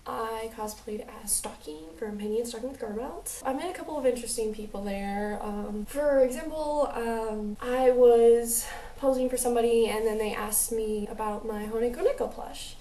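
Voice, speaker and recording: A woman's voice: on the high side, normal speed, mellow, reverberant, far from the mic, slight background noise, poor audio. A young American woman with a mixed New Jersey and slightly Southern accent, telling a rambling, chatty vlog story full of fillers. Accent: mixed American, New Jersey with a light Southern drawl. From United States. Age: young adult. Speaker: vlogger.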